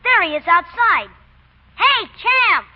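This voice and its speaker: An excitable young fellow, voiced by a woman, full of slang and glee. The voice is high and leaps all over. It comes through a dull, lo-fi radio broadcast. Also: slow, exaggerated voice. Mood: gleeful. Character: excitable gleeful young fellow named Willie. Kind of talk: 1940s radio acting.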